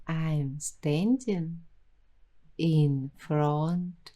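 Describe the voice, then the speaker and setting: A woman speaks in a gentle ASMR roleplay, lingering over each phrase at a very slow pace. Her voice sits low and mellow, soft and reflective, with an affirming calm. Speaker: ASMR host.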